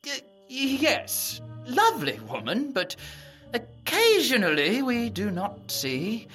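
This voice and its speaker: An actor in an audio drama playing a pompous, nervous henpecked husband and court official who stammers when flustered. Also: male voice, very high voice, wildly swooping pitch, slow, sharp tone, faint music behind, full character voice. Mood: nervous, scheming.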